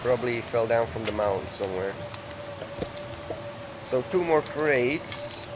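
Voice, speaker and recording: A man's voice: medium pitch, expressive, measured pace, noisy background. A man casually commenting on his game as he plays, thinking aloud and reading text from the screen. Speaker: let's play gamer.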